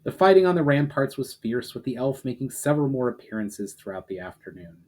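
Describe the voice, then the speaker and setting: A man's voice: medium pitch, very animated, a little fast, a little mellow. Man giving an emphatic dramatic story reading. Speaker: writer reading own story aloud. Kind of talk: dramatic fiction audiobook-style reading.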